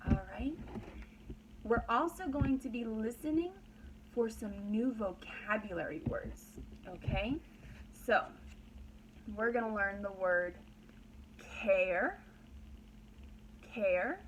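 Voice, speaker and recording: A woman with a fairly high voice teaches a read-aloud lesson to very young children. She speaks slowly, repeats simple phrases and checks in with short questions. There is clear background noise on the recording. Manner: instructional, simple phrases with repetition and prompting questions. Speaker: pre-kindergarten teacher.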